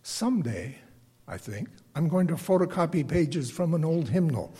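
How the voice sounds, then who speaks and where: An older man with a bass singing voice gives a reflective, prepared lay sermon to a congregation, speaking deliberately and haltingly with pauses. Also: expressive, warm tone, breathy edge. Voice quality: self-described bass voice.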